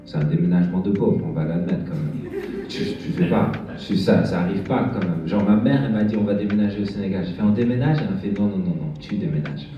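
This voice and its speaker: Hesitant deep-voiced man doing stand-up. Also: expressive, dark tone, a little hiss or hum. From France. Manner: hesitant, halting delivery with many fillers and restarts. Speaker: stand-up comedian. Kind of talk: stand-up comedy set. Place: bar stage with live audience. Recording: echoey, dull room sound from far off, music behind.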